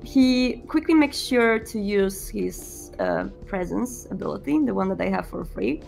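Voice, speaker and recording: Creaky-voiced woman narrating tabletop roleplay. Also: medium pitch, very animated, unhurried, a little mellow, faint music behind. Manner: hesitant, descriptive, piecing phrases together. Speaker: game player narrating the prince character.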